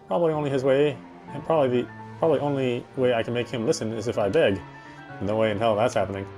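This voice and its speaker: A man's voice: medium pitch, steady tone, quick pace, darkish tone. A man reading a game's story aloud as dramatic narration, as a player would. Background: game music.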